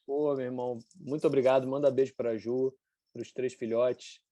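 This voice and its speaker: A Brazilian man in his forties chats casually as an event host, in a medium-pitched voice. His talk is slangy and informal, and the sound is dry and close. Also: steady tone, moderate pace, dark tone, no echo. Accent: Brazilian Portuguese. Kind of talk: casual chat.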